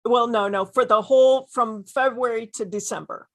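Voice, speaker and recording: A woman's voice: a little high, fairly even intonation, moderate pace. A woman hosting an interview with effusive enthusiasm, often repeating phrases for emphasis. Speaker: host interviewing successful online sellers.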